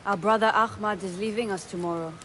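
A woman's voice: medium pitch, steady tone, quick pace, a bit bright, slight background noise, natural voice. Self-assured woman weaponsmith, played by an actress. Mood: calm. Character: proud, brisk female weaponsmith of assassins. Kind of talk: dramatic video game acting.

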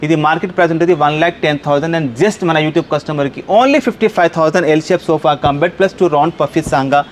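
A man's voice: a little high, quick pace. Male furniture seller, Hyderabad Telugu accent, racing insistent patter with English mixed in, noticeable showroom noise behind. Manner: repetitive, insistent salesman patter mixing in English words. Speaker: furniture shop owner and salesman. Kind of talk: unscripted sales pitch in a product showcase. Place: large indoor furniture showroom. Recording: clear background room noise.

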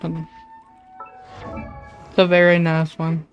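Lower-pitched woman chatting on a game stream. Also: little pitch movement, takes it slowly, highs cut off. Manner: rambling, informal, thinking aloud. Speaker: livestreamer. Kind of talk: casual game stream chat. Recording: game music behind the voice. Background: video game music.

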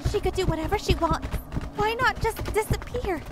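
A very high, jumpy teen girl. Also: normal speed, soft background music. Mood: anxious. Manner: anxious, excitable. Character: student Daniella, jumpy teenage girl. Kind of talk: audio drama acting.

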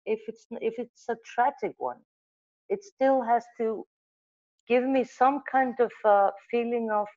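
Woman, middle-aged, Icelandic native speaker, animated, self-correcting, earbud mic, muffled call audio. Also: a little high, measured pace. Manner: animated storyteller, gestures, restarts and self-corrections. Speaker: magazine editor, guest. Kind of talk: video call interview. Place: room with wall of photos. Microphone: earbud headset mic on video call.

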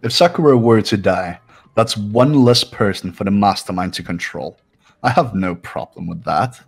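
A man acts out a cold, haughty young video game character. His voice sits low and drips with condescension and scorn. Background music plays along behind him. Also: lively intonation, normal speed, vocal fry, husky, exaggerated voice. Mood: cold, taunting. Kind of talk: amateur video game voice-over.

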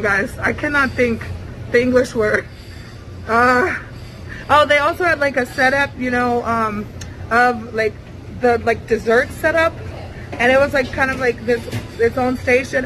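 A young woman vlogging as she walks through a buffet restaurant, talking in a chatty, rambling way with many fillers, holding the camera at arm's length. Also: sits high. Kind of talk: casual vlog walkthrough. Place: busy indoor buffet restaurant. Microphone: handheld camera at arm's length. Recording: noisy restaurant ambience.

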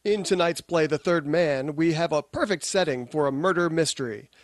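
An actor playing a Hollywood producer hosting a 1950s radio theater show with formal, polished showmanship. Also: male voice, fairly high pitch, moderate pace, slight background noise, close to an everyday voice. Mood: polished, genial.

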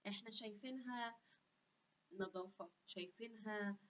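A woman's voice: medium pitch, moderate pace, a little hiss or hum. Chatty Egyptian woman on lo-fi webcam. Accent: Egyptian Arabic. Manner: animated, gestures a lot, chatty with asides. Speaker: health channel host. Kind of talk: casual explanatory vlog. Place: small room indoors. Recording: dull lo-fi webcam audio.

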